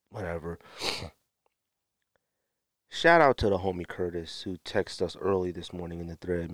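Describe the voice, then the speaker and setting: A man with an American accent giving opinionated solo podcast talk in a blunt, emphatic way, with drawn-out pauses and repeated phrases. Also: low-pitched, no room sound, studio quality. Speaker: podcast host.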